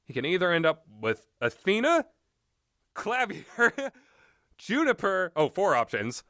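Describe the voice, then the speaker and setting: A man's voice: sits high, wildly swooping pitch, takes it slowly, pushed and bright, no room sound, clean studio sound. A man giving casual, rambling commentary in a blunt, opinionated way, swearing freely as he goes. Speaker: solo commentator on visual novels.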